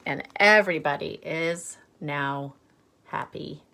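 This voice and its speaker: A woman reads a children's story aloud at a slow, careful pace. She is expressive and teacherly, often stepping out of the text to chat with her listeners. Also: low voice, gravelly, noisy background. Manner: animated, teacherly, pauses for questions. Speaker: storyteller reading a picture book aloud.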